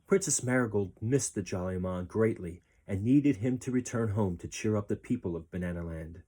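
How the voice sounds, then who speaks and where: A man reading a children's story aloud as a storyteller, expressive and deliberate. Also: fairly low pitch, slightly rough.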